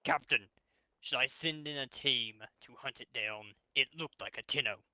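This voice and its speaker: An eager alien foot soldier from a fanfiction tale, played by a man reading aloud. The delivery is urgent and clipped, very bright and forceful, in a dry, close recording. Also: medium pitch, normal speed, dry recording. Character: alert, eager alien trooper reporting to his captain.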